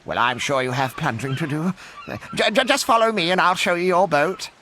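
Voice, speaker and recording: An actor playing a chatty, flattering guide in a video game, cheeky and a little flustered. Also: male voice, fairly high pitch, brisk, a little hiss or hum. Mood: fawning, cheeky. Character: flattering, chatty male guide to a seafaring captain. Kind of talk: video game cutscene acting.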